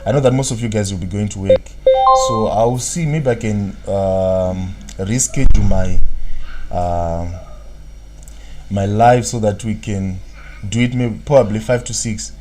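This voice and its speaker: A Zimbabwean man with a low voice runs a casual live stream, rambling and trailing off into filler tags as he reads viewers' comments. His voice comes through a computer mic with a faint hiss underneath. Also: fairly even intonation, unhurried, dark tone. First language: Shona. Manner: rambling, fills gaps with "what, what"; answers viewers' chat comments. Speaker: trading analyst. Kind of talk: live-stream market analysis.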